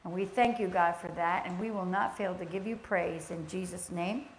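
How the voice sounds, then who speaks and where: A soft-spoken woman leading a church teaching session, speaking in a gentle, conversational way with a spoken prayer. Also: lowish voice, normal speed, a little hiss or hum. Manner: gentle, conversational, with fillers and restarts. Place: church sanctuary. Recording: echoey church room, faint ambience.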